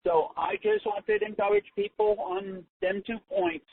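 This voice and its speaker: A man with a distinctly high voice phones in to share a casual comment. He speaks in a halting way, and the line is tinny and narrow. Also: gravelly. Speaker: caller. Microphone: telephone.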